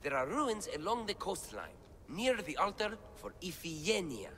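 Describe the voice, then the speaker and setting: A man's voice: high voice, big pitch swings, moderate pace, a bit bright. A man playing an anxious, self-doubting scholar in a video game cutscene, speaking in a fretful, plaintive and emphatic way. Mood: explanatory. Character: anxious, self-doubting male scholar translating ancient relics. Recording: long cavernous reverb, clear background noise.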